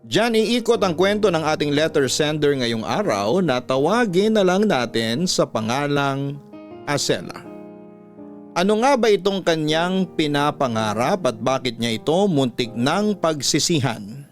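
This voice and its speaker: A man with a Filipino accent narrating listener letters as a radio host, in a measured, emotive scripted style. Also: fairly high pitch, very animated. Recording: music bed under voice. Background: music.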